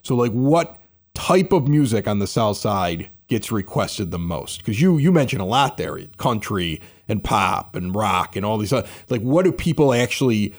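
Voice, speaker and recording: A man's voice: fairly low pitch, big pitch swings, normal speed. A man hosting a local talk show, joking and rambling animatedly while he interviews a guest. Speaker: host. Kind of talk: casual interview chat.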